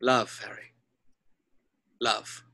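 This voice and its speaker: A man playing a wise, gentle, elderly wizard headmaster in a fantasy screenplay read, speaking in a measured, deliberate way.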